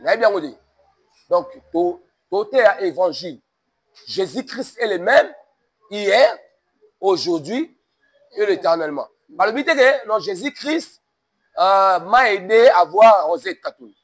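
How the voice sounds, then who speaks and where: A man's voice: high voice, lively intonation, measured pace. A man from DR Congo with a Congolese French accent, speaking in an emphatic sermon-like cadence and mixing French with Lingala. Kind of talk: preaching-toned interview talk.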